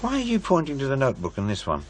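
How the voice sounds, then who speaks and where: An actor playing a dry, skeptical, busy paranormal researcher in naturalistic radio drama dialogue. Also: male voice, mid-range voice, wildly swooping pitch, racing, a little hiss or hum. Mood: dry skepticism. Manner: dry, questioning, unimpressed.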